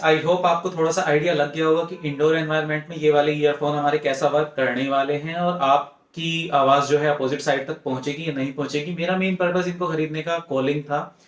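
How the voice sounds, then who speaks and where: Casual male gadget reviewer, Indian accent, slightly high voice, explanatory delivery with English phrases mixed in. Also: flat delivery, brisk. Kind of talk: casual product review to camera.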